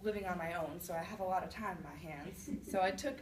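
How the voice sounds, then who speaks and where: A woman playing an indignant old widow accused of being a witch, telling her side of a fairy tale in a conversational, halting monologue. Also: lowish voice, lightly acted. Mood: explaining, aggrieved. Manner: conversational, self-justifying, with halting restarts. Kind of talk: first-person storytelling monologue. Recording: roomy, distant mic with faint noise.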